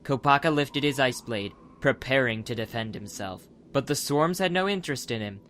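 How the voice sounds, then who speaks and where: A man's voice: medium pitch, moderate pace, fairly bright tone, a little hiss or hum. A man narrating a dramatized adventure audiobook from a script as the storyteller.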